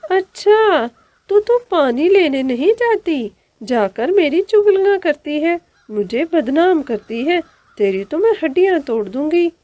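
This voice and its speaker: A woman's voice: high voice, very animated, unhurried, warm tone, no echo, voice pushed up. A woman voicing a cruel, bullying stepmother bird who scolds harshly in a cartoon story. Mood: angry, scolding.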